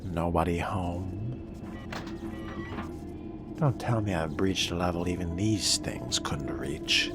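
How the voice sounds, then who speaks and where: A man role-playing a sardonic, predatory vampire in solo game commentary, with wry, theatrical delivery and formal turns of phrase, under loud music. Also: low voice, slow pace, pauses often. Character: sardonic, predatory fledgling vampire stalking prey. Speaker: gamer role-playing through a video game.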